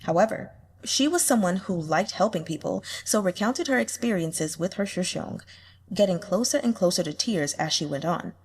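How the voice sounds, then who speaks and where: A woman reading a fantasy novel aloud as expressive scripted narration, with a slightly husky voice. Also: lowish voice, normal speed. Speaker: audiobook reader.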